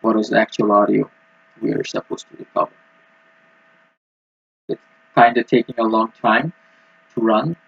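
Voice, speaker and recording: A man giving a technical walkthrough as a student presenter, speaking in a halting, hesitant way. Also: lowish voice, fairly even intonation, vocal fry, a bit bright, husky. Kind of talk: technical screen-recording walkthrough. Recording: muffled, roomy lo-fi microphone.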